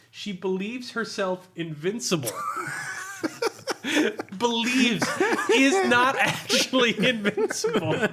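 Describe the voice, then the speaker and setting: High-pitched, bright-voiced man, amused. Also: a little hiss or hum. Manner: amused, sardonic, often laughing while talking. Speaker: podcast host reviewing a tabletop game. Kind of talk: humorous casual review, reading aloud with commentary.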